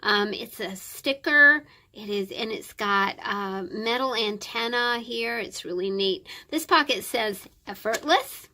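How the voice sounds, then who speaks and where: A woman's voice: on the high side, takes it slowly, hardly any pauses, sharp tone. A woman with a scratchy, stuffed-up voice chatting casually and in a friendly way as she shows craft items to her viewers. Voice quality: scratchy, croaky; stuffy nose. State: scratchy throat and congestion. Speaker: craft channel host. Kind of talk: casual show-and-tell chat.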